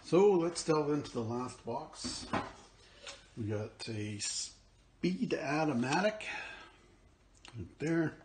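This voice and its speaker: A man's voice: on the high side, lively intonation, takes it slowly, long pauses, background noise. A man casually showing off his auction finds, thinking aloud with fillers and musing as he goes. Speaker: picker and vlogger. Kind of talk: casual unboxing commentary. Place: indoors at a table, off camera.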